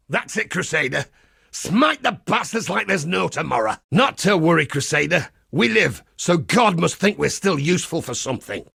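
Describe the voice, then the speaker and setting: Gravelly, zealous crusading holy warrior. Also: male voice, sits high, a bit bright, dry recording, studio quality, exaggerated voice. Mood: rallying, fierce. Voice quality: gravelly, rasping. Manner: preacherly, blunt, wry, archaic turns of phrase. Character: zealous battle-hardened crusading holy warrior, grimly cheerful, foul-mouthed. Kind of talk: theatrical video game character dialogue.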